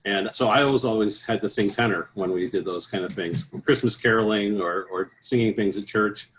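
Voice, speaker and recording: Middle-aged male singer, lowish voice, conversational and rambling, slight background noise. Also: a little fast, fairly bright tone, poor audio. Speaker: interview guest, professional choral bass singer. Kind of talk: casual interview talk.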